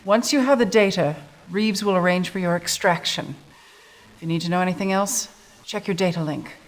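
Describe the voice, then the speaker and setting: A woman's voice: on the low side, moderate pace, close to an everyday voice. A woman in her thirties playing a stern, businesslike resistance officer, giving a serious briefing in a video game cutscene. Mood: brisk, serious. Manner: serious, clipped briefing delivery.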